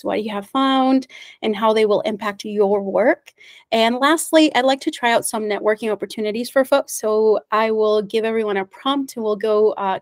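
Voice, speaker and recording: A woman's voice: mid-range voice, dry recording, clean studio sound. Upbeat woman presenting on a video call. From United States. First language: Spanish. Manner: friendly, upbeat, with frequent fillers and little laughs. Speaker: meetup organizer and presenter. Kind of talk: online meetup presentation.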